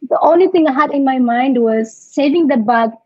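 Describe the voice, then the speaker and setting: A young woman from Ethiopia with an Ethiopian accent, a podcast guest telling her life story in halting English, repeating words and laughing through hard memories. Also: high voice, mellow, breathy edge, no echo, dull audio.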